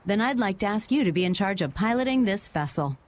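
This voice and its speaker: A woman's voice: medium pitch, lively intonation, quick pace, a little hiss or hum, close to an everyday voice. A woman voicing a composed, businesslike adult merchant leader in a video game dub. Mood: pleased, composed.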